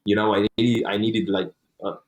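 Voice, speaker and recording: A man's voice: lowish voice, level tone, moderate pace. A young man telling his own story in an interview, speaking English as a second language, earnest, with frequent restarts and casual swearing. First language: not English. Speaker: interview guest.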